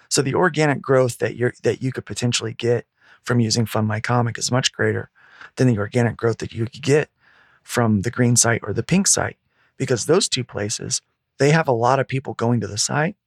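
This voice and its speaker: A man speaking in a calm, soothing voice, earnestly explaining his plans in a relaxed podcast chat. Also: mid-range voice, vocal fry, husky, no echo, studio quality. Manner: earnest, explains patiently with repetition. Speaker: guest, comic crowdfunding site founder. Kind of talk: casual podcast conversation.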